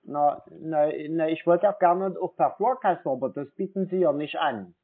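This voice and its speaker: Persistent man with highish voice, phone-like sound. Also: moderate pace. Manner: naive-sounding, persistent, questioning. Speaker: prank caller posing as customer. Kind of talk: phone conversation. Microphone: telephone.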